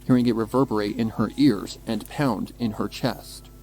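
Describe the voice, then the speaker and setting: A man's voice: fairly low pitch, normal speed, darkish tone, slight background noise. A man reading scripted, dramatic story narration. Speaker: fan fiction narrator.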